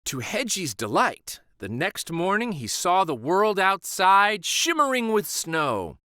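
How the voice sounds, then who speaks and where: A man reading a picture book aloud as a children's story host. His telling is slow and soothing, with a pitch that rises and falls lively and a bright tone. The sound is close and dry. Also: dry recording. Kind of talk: storybook read-aloud narration.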